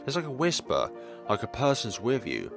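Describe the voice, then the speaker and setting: A man voicing a dreamy, gentle young woman who plays the flute in a visual novel, speaking earnestly and pensively. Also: mid-range voice, huge pitch swings, moderate pace, gravelly. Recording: music playing behind the voice.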